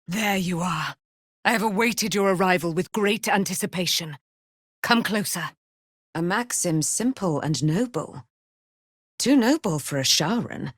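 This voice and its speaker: A woman's voice: on the low side, expressive, a little slow, dry recording, clean studio sound. An actress playing a proud, immortal holy warrior woman in a fantasy game, speaking in grand, solemn, formal phrases. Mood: measured, commanding. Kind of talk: theatrical fantasy game voice acting.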